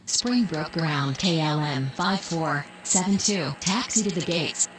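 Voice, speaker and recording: Woman as game air traffic voice: low register, businesslike, formulaic delivery, noisy engine-drone backdrop. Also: lively intonation, a little slow. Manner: clipped, formulaic, procedural. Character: female air traffic controller or pilot, procedural and businesslike. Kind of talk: scripted aviation radio phraseology.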